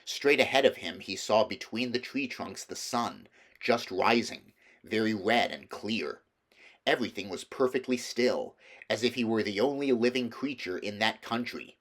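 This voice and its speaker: A man's voice: lowish voice, normal speed, a bit bright. A man reading a children's novel aloud as scripted storybook narration. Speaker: audiobook reader.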